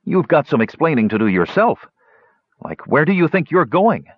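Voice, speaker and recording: A man's voice: fairly low pitch, very animated, moderate pace, no room sound, muffled recording. A man voicing a stubborn young dwarf nephew in a fantasy audiobook, sullen, blunt and defensive. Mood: belligerent. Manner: belligerent, blunt, informal.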